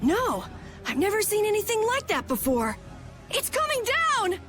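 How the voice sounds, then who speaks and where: Very high, excitable little boy. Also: a little slow, soft background music, full character voice. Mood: uneasy. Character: excitable, timid young boy adventurer sidekick. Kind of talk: dubbed anime video game voice acting.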